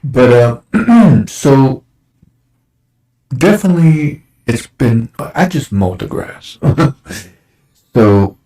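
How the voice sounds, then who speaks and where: A man in his forties, hosting a casual chat show, his voice a little raspy from dust, rambling along with lots of fillers. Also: medium pitch, lively intonation, extremely slow, pauses often, warm tone. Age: 40s. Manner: rambling, with many fillers and restarts. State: slightly raspy from breathing dust. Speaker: show host. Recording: roomy echo.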